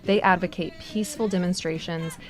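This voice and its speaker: A woman's voice: fairly low pitch, fairly even intonation, a little fast. An American woman reporter delivering scripted news narration.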